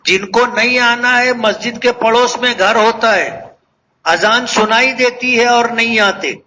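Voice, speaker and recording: A male cleric with a very high, bright voice preaches earnestly to a congregation. He takes his time and repeats key phrases to press them home. There is faint noise behind him. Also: measured pace, poor audio. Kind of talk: religious sermon to a congregation.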